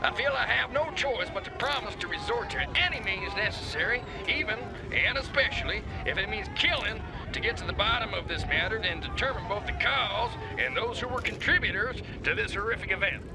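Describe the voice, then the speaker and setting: An actor playing a swaggering, pompous kingpin and demagogue in a satirical audio play. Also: male voice, high voice, animated, brisk, few pauses. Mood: grandiose. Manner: pompous, grandiose speechifying.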